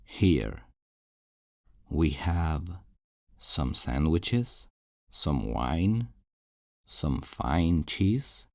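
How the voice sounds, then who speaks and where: Man acting a very deep, creepy possessive kidnapper. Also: expressive, vocal fry, a little mellow, no room sound, clean studio sound, exaggerated voice. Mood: falsely cheerful. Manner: slow, coaxing, condescending endearments with veiled threats. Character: possessive male kidnapper, falsely tender, controlling and menacing. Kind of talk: ASMR roleplay, scripted intimate monologue.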